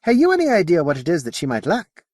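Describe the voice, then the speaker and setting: A young Scottish midshipman from a space adventure audiobook acted out by a man. He is polite and inquisitive, speaks in dialect, and sits higher in pitch with a lively rise and fall. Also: quick pace, no room sound, studio quality. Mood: concerned. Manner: polite, dialect-laden speech.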